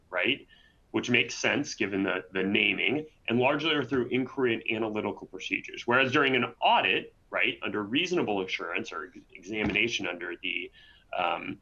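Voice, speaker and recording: A man's voice: medium pitch, sharp tone, dull audio. A man hosting and presenting a webinar in a conversational way, often tagging on "right?". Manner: conversational, frequent "right?" tags.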